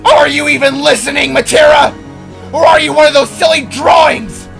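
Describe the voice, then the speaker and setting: A man's voice: very high-pitched, fairly even intonation, moderate pace, gravelly, fairly bright tone, faint music behind, voice pushed up, heavily acted. A man voicing an anguished, enraged spark, a grieving father screaming in sorrow and fury in a fantasy audio story. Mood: furious, despairing.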